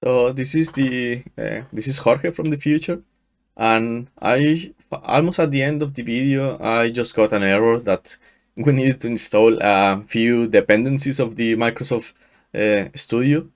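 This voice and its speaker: A man in his thirties from Mexico, a native Spanish speaker with a Mexican accent, walking through a software install in a screen-share tutorial, often restarting his sentences. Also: medium pitch. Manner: halting, frequent restarts and fillers. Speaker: tutorial host. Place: small room indoors. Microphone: headset.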